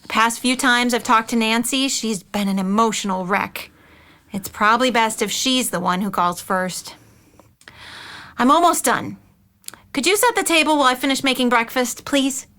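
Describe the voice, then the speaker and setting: Aunt role for an actress: middle-aged woman, caring but fretful, high bright voice, earnest. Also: moderate pace. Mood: explaining, defensive. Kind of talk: audio drama dialogue.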